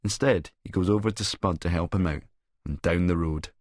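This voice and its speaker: Low-voiced Scottish man narrating, dry close sound. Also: moderate pace, darkish tone, no room sound. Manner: sardonic, sneering. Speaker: audiobook narrator and actor. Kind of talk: dramatic first-person audiobook narration.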